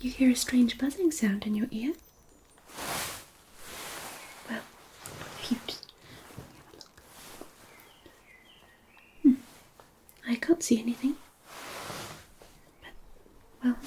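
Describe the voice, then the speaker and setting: A woman playing a kindly, attentive, gently playful fairy helper in a fantasy ASMR roleplay, soothing in a breathy near-whisper. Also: a little high, unhurried, long pauses, slight background noise, close to an everyday voice.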